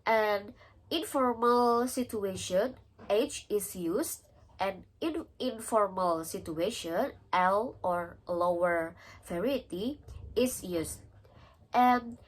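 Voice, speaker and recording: A young woman with an Indonesian accent, answering exam questions and pausing often with filler sounds. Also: medium pitch, poor audio. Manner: hesitant, many pauses and filler sounds. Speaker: student.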